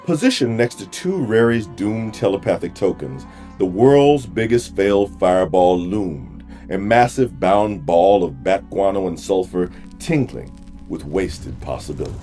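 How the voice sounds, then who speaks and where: Very deep, theatrical male narrator. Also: expressive, unhurried, mellow. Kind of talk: scripted fantasy audio drama narration. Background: music.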